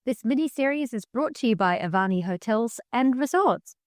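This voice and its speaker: A woman co-hosting a travel podcast in casual chat. Her voice sits fairly high and she talks in a lively, emphatic, playful way. The sound is dry and close, with no room around it. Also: moderate pace, no room sound. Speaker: podcast co-host.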